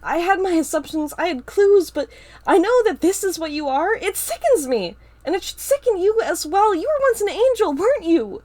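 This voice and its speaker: Woman doing a shrill, furious fire mage girl. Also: sing-song, moderate pace. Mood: disgusted, accusing. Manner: shrill, accusing shouting. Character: furious young female fire-wielding mage.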